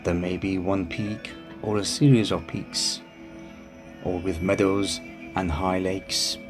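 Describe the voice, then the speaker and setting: Slow, low-voiced man guiding meditation. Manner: calm, soothing, unhurried phrasing. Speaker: meditation guide. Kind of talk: guided meditation narration. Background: music behind the voice.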